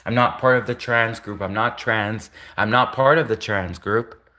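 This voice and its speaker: A man's voice: on the low side, fairly even intonation, normal speed, sharp tone. A man from Canada with a nasal voice, talking casually to his livestream chat, often restarting and repeating his phrases. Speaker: livestreamer answering chat comments.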